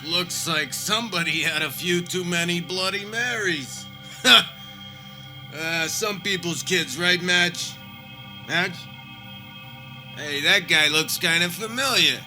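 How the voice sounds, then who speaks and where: A man's voice: high voice, slow pace, long pauses, music in the background, poor audio. A man playing a grumpy, complaining, old-fashioned husband in a comedic radio play, grumbling as he talks. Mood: bemused. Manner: grouchy, grumbling.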